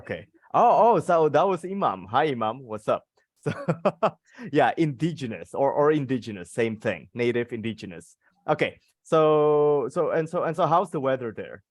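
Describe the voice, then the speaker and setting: A man's voice: medium pitch, expressive, moderate pace, no room sound, studio quality. A man with an Indonesian accent teaching a casual live English class, repeating words and phrases as he explains encouragingly. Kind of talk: casual conversational lesson, switching between two languages.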